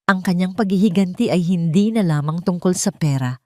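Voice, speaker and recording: A woman narrating a scripted romantic drama story in a measured, dramatic way. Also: on the low side, warm tone, dry recording, studio quality.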